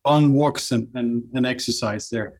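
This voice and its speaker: Brief: middle-aged Norwegian-accented man, guest on an interview, mid pitch, hesitant and full of restarts. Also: darkish tone. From Norway. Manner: halting, many restarts and fillers. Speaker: guest, company cofounder. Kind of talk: casual interview chat.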